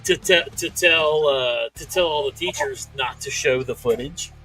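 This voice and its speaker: A man in his late thirties chatting casually and profanely as a podcast co-host, telling stories in an animated way. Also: on the high side, unhurried, loud music behind the voice, rough recording. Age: late 30s.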